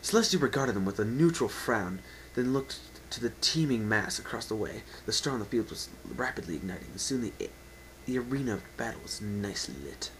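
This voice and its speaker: A man with a fairly low voice narrates a story as a dramatic reading. His delivery is theatrical and emphatic, unpolished and unedited. It is an amateur home recording with faint background noise. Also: animated, brisk, few pauses, fairly bright tone, poor audio.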